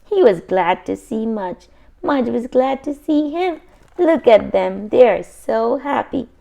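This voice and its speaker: A woman's voice: fairly high pitch, animated, slow, creaky, warm tone. A woman reading a children's picture book aloud expressively, adding simple asides for young listeners.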